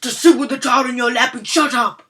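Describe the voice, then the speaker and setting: Woman playing a gruff old man, blunt, exasperated, scolding, bright loud voice. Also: sits high, brisk, voice pushed up.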